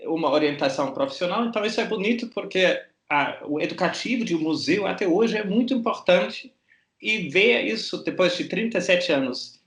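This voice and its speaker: Hesitant museum curator, echoey room. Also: male voice, high voice, animated, a little fast, a bit bright. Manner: thoughtful, searching for words with many restarts. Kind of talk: interview answers. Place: art museum gallery.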